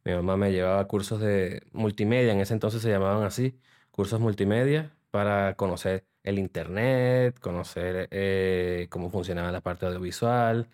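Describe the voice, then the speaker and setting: A man's voice: sits low, fairly even intonation, normal speed, darkish tone, clear, resonant tone. A man in his thirties with a Venezuelan accent, a podcast guest explaining his work at length in a studio interview. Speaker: guest, software developer.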